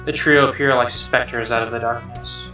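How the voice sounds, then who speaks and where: A man doing an expressive read-aloud of a game's narration, with casual asides. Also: medium pitch, normal speed. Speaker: gamer reading a visual novel aloud. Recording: roomy, dull lo-fi mic. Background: game music.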